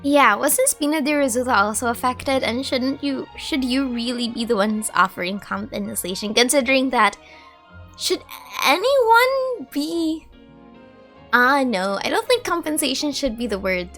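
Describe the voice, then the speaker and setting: A woman's voice: sits high, animated, unhurried, fairly bright tone. A woman doing casual let's play commentary, chatty and rambling, often correcting herself. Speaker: gaming streamer. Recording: music playing behind.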